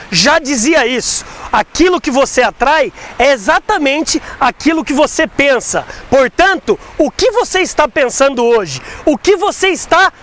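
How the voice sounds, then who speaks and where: A Brazilian man in his forties with a strikingly high voice delivers an emphatic, persuasive motivational talk straight to camera. He speaks through a lapel mic while standing outdoors on an overpass above traffic, asking the listener rhetorical questions. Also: fairly bright tone. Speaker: sales speaker and host.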